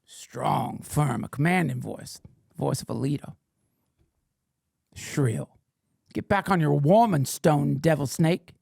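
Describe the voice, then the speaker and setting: Middle-aged male host, gravelly, raised pitch, mock-indignant comic riffing, dry sound. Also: slow, long pauses, dry recording. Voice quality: playfully mock-indignant, swings to whispers and shouts. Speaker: podcast host reading chat questions. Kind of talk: comedic livestream banter in a mock persona.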